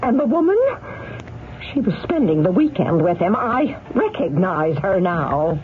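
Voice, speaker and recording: An actress playing a flustered, insistent former shop manager on the witness stand in a radio drama, halting and emphatic. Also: female voice, fairly low pitch, big pitch swings, slight background noise. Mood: urgent, accusing. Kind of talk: 1970s radio drama acting. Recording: tinny vintage radio recording, music bed.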